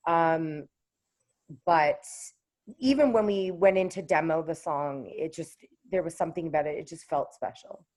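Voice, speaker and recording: A woman with a low contralto voice, a singer-songwriter talking casually in an interview, often pausing with fillers and restarting her sentences. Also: a little mellow. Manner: hesitant, with many fillers and restarts.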